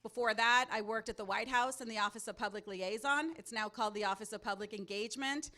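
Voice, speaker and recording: Loud, bright-voiced woman moderator. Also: a little high, quick pace. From Mexico. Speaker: panel moderator. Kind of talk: public speaking to a student audience. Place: event hall at a panel table. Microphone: handheld or table microphone.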